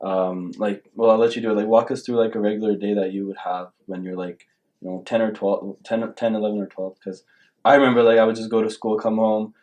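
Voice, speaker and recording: Relaxed young man co-hosting a podcast. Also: fairly low pitch, a little fast. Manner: relaxed, rambling questions. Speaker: podcast co-host. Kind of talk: casual interview.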